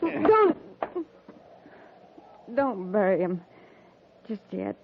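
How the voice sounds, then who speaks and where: Young frontier widow, bitter, bereaved, unyielding. Vintage radio drama, thin phone-like sound. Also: female voice, mid-range voice, sing-song, very slow, heavy background noise, full character voice. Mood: pleading, bitter. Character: bereaved frontier wife in early twenties, worn, stubborn, bitter. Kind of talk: 1950s radio drama acting. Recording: tinny 1950s radio recording.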